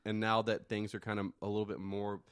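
Deep-voiced playful man, podcast co-host. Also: monotone, brisk, smooth, dry recording, studio quality. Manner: playful, laughs often. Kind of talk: casual chat.

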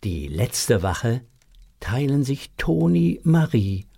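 Male narrator for children's audio drama, unhurried storyteller, medium pitch, relaxed pace, dry studio sound. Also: vocal fry, breathy edge, no room sound.

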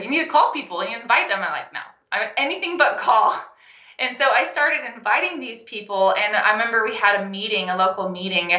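A young adult woman, a health coach and guest, telling her story in a casual interview, animated and laughing often. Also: mid-range voice, reverberant, lo-fi sound. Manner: animated, laughs often, acts out quoted phone calls.

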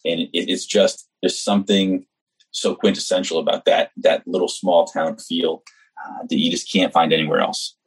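Husky low-voiced enthusiastic man. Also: level tone, normal speed, low-quality recording. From New Hampshire, US East Coast. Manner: enthusiastic, earnest, talks in long run-on stretches. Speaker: executive chef, guest. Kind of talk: casual podcast interview.

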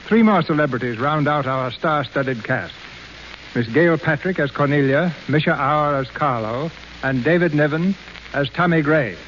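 A man's voice: on the high side, normal speed, a bit bright, muffled recording, slight background noise. A man presenting a radio play as host and announcer, reading scripted narration in a formal, florid 1930s style. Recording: band-limited 1930s radio broadcast.

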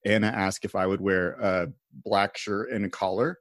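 A man hosts a relaxed podcast chat, laughing freely and rambling a little through fillers. His voice sits on the low side. The sound is dry and close, with no room in it. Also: normal speed, dry recording. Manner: laughs often, loose and playful with fillers. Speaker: podcast host and pastor. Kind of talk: casual chat. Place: remote call.

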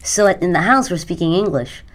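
Woman, middle-aged, from India, native Gujarati speaker, low voice, earnest spiritual manner, podcast guest. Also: expressive, brisk. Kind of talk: conversational interview answers.

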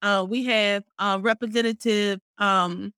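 A middle-aged woman co-hosting a casual podcast, expressive and animated, speaking warmly about faith and community. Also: mid-range voice, a little slow, smooth, dry recording, studio quality. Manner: warm, expressive, talks about faith and blessings; frequent fillers and restarts, animated storytelling. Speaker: podcast co-host.

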